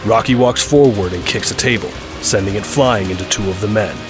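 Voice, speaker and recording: A man's voice: lowish voice, fairly quick. A man reading scripted narration as the narrator of an audio drama. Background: music.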